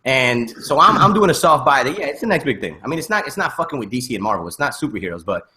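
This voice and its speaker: A man hosting a casual panel discussion, opinionated and animated, checking in with rhetorical questions over a video call. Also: mid-range voice, quick pace. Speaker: podcast host.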